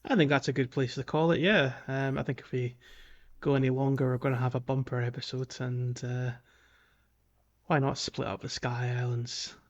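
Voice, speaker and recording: A man's voice: mid-range voice, little pitch movement, moderate pace. Casual male podcast host, slightly muffled audio. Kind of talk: casual video game discussion.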